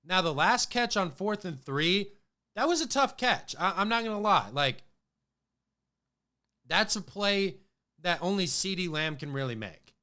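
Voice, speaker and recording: A man's voice: sits high, animated, a little slow, long pauses, bright, forceful tone. A man hosting a casual sports podcast, talking loosely with many fillers and restarts, his voice a little off from a cold. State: has a cold, voice a bit off. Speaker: podcast host.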